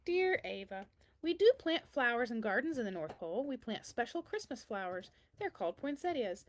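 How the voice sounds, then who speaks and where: A woman's voice: a little high, very animated, normal speed, slight background noise. A woman voicing a kindly, very old man who answers a child's letters in a storybook, reassuring and explanatory. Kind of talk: children's storybook read-aloud.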